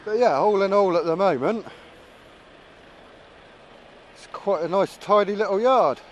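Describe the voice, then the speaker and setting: A man's voice: high voice, fairly quick, dull audio, a little hiss or hum. A man giving casual, musing running commentary as a vlogger flying a drone, thinking aloud as he goes. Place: outdoors.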